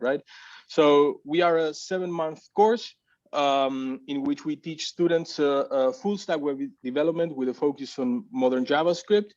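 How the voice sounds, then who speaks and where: A man's voice: medium pitch, a little mellow, lo-fi sound. A man giving a casual talk at a tech meetup, hesitant, with many fillers and restarts. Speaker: community organizer presenting.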